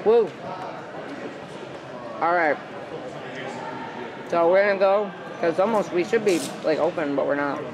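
A chatty, excitable man vlogging casually inside a large sports hall at a wrestling show, filmed on a handheld camera. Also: high voice, measured pace, pauses often. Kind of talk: casual chat. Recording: loud crowd behind, camera mic. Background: loud crowd chatter.

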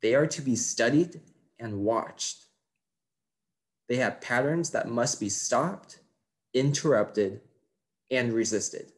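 Man acting as a slow, brash seminar guru. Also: mid-range voice, lightly acted. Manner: emphatic, declarative, punchy short phrases. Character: brash, cocky male seminar guru preaching domination. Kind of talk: motivational seminar monologue, stage acting.

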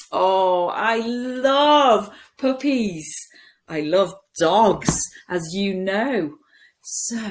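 A woman in her forties reads a picture book to young children as a teacher would, expressive and playful, with dramatic pauses and hushed asides, recorded in a room at home. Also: mid-range voice. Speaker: primary school teacher reading a picture book. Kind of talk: animated children's story reading. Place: small room at home, indoors.

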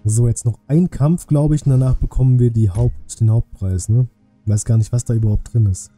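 A man's voice: mid-range voice, brisk, warm tone. Casual male game streamer over music. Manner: colloquial, thinking aloud. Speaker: live streamer hosting a gaming stream. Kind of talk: casual live game commentary with chat replies. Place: small indoor home streaming room. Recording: music behind the voice.

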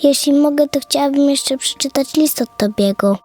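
Woman voicing a high, soft-toned little girl. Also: moderate pace, soft background music, lightly acted. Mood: polite, pleading. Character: sad, polite little orphan girl, curious and gentle. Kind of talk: children's audio fairy tale acting.

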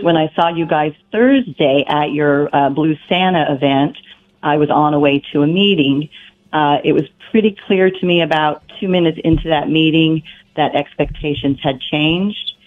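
A hoarse woman calling in to a radio show, earnest and grateful. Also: sits low, unhurried. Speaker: caller. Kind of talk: phone-in chat. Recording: tinny phone line.

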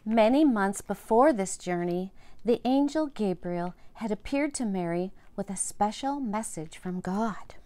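A woman in her fifties reading a story aloud to young children and gently explaining it in simple words. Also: medium pitch, expressive, a little slow. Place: indoors, home room with bookshelves. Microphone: lapel mic.

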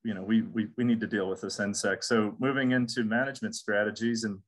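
A man's voice: fairly low pitch, steady tone, fast. A middle-aged man from the United States giving a casual webinar lecture on crop pests, repeating words and restarting often. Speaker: extension entomologist. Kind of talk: recorded webinar lecture with slides.

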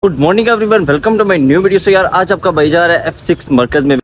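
A man's voice: sits high, normal speed, a crowd in the background. A man in his twenties with a Pakistani accent, chatting informally to viewers in a casual walking-tour vlog. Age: 20s. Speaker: vlogger. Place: outdoors on busy city streets. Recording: clear outdoor street and traffic noise.

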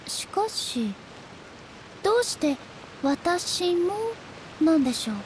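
A woman's voice: very high voice, big pitch swings, takes it slowly, a little hiss or hum, heavily acted. A woman playing a dignified, composed female shogun in a video game, speaking formally and politely. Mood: calm, curious. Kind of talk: anime-style video game dialogue acting.

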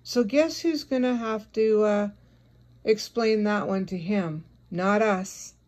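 A woman's voice: mid-range voice, slow pace, a little mellow. A woman giving a confrontational, scolding monologue addressed directly at someone, as an online video creator.

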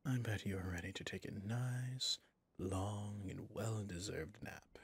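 A man playing a suave, possessive villain boss in an intimate ASMR roleplay, speaking in a courtly, seductive way with a breathy, husky voice. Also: fairly low pitch, slow pace, no echo, full character voice. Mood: hushed, seductive. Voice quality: breathy, husky, often near a whisper.

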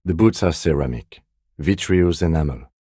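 A male actor playing a composed, meticulous police detective in a video game, with a measured, restrained, dryly stern delivery. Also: extremely low pitch, expressive, mellow, breathy edge, dry recording, clean studio sound, heavily acted. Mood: deadpan, stern. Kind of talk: scripted game dialogue.

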